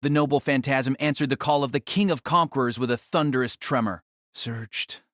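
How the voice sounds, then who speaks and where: A man's voice: medium pitch, steady tone, normal speed, a bit bright, no room sound, clean studio sound. Male narrator reading a fantasy drama. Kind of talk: scripted audiobook narration.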